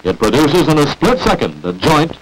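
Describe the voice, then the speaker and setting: An American man reading scripted narration for a 1940s promotional industrial film, with emphatic, salesmanlike, deliberate phrasing, on an old film soundtrack. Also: a little high, sing-song, slightly rough, low-quality recording.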